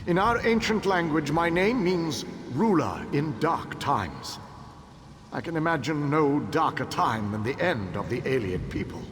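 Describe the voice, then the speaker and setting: An actor playing a proud ancient elven king and calculating tactician, speaking in a measured, formal, commanding way in a video game. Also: on the high side, expressive, background noise. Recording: long hall reverb with loud ambience.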